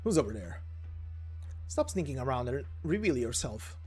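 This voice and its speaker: A man voicing a gruff armed hunter on a search in a game story, terse and wary. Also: mid-range voice, very animated, normal speed, a little mellow, soft background music. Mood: challenging.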